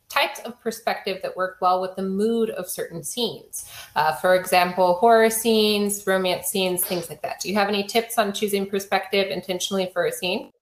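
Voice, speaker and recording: A woman's voice: medium pitch, moderate pace, fairly bright tone. A young woman hosting an online workshop question session in an effusive, appreciative manner. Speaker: workshop host. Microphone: video call. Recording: roomy video call audio.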